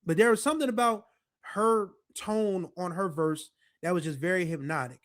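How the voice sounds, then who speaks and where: A man in his forties hosting a podcast, talking in a relaxed, rambling way full of fillers. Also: moderate pace. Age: 40s. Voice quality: deeper voice. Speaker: podcast host. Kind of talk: casual nostalgic monologue.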